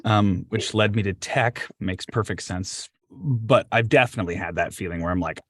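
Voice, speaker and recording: A male host with a lowish voice asks long, earnest questions, thinking out loud before he lands on his point. The sound is dry and close, with no room around it. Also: moderate pace, slightly rough, no room sound. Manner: earnest, thoughtful, rambling questions. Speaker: interview host. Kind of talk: conversational interview.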